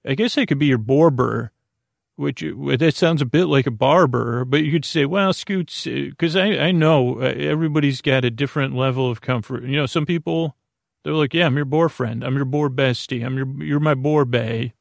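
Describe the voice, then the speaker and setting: Creaky-voiced man, soothing and rambling. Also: medium pitch, no echo, studio quality. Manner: meandering, tangent-prone, halting with stammered self-corrections; soothing, lulling. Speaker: podcast host. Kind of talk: rambling bedtime sleep podcast monologue.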